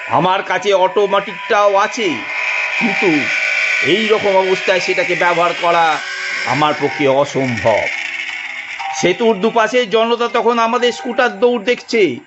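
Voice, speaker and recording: A man narrating a dramatic first-person audio story in a deliberate, suspenseful storytelling manner. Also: high voice, heavy background noise. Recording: muffled studio narration over music.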